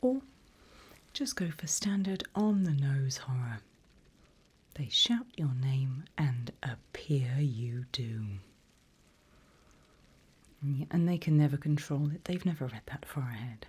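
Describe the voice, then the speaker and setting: A woman voicing a seasoned, wry demon instructor in a slow, breathy ASMR whisper, guiding a trainee with dry humour. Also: a bass voice, lively intonation, darkish tone, exaggerated voice. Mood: wry, coaching. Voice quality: breathy, mostly unvoiced whisper. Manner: slow, deliberate, dryly humorous.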